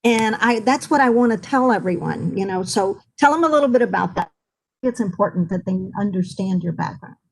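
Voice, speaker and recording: A woman's voice: mid-range voice, expressive, a little fast, gravelly, darkish tone, rough recording. A woman hosting a webinar, asking her guest long, run-on questions over a video call. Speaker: webinar host. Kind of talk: interviewer prompting guest.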